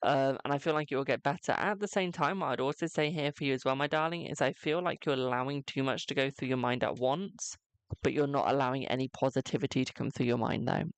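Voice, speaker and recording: A young man with a naturally high voice hosts a live tarot reading, chatty and cheeky, calling each viewer "my darling". His tone carries a gravelly fry at the ends of phrases. The sound is dry and close, with no room echo. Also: fairly even intonation, quick pace. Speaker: tarot reader on a livestream.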